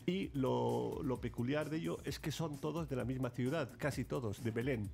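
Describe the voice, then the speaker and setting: A middle-aged man Palestine, calmly answering as an ambassador on a radio interview in a studio. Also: medium pitch, moderate pace, creaky, faint music behind. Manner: explains at length, steady and courteous, with small restarts.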